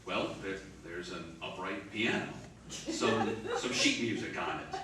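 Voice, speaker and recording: An actor playing a commanding, sceptical spaceship captain in a sci-fi radio drama, barking orders and stammering when baffled. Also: male voice, deep voice, measured pace. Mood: bewildered. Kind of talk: old-time sci-fi radio drama acting. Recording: roomy, distant, clearly noisy recording.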